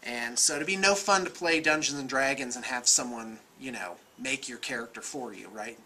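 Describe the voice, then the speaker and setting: Bright-voiced American man, casual vlogger. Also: mid-range voice, moderate pace. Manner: animated, gesturing, conversational, self-qualifying. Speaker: vlogger, miniatures shop owner. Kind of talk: casual off-the-cuff opinion talk. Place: indoor room with bookshelves.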